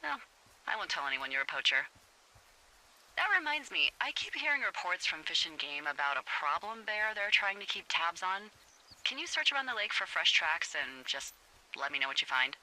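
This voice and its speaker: A woman's voice: lowish voice, very animated, moderate pace, sharp tone, full character voice, low-quality recording. A woman with an American accent playing a wry, flirtatious fire lookout in a video game, talking candidly over a two-way radio. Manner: wry, teasing, conversational.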